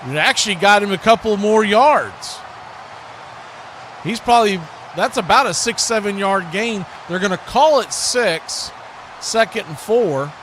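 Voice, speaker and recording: Bright, high-pitched male sports stream host. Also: expressive, a little slow, slight background noise. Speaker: live stream play-by-play host. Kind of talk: live football play-by-play commentary mixed with casual chat.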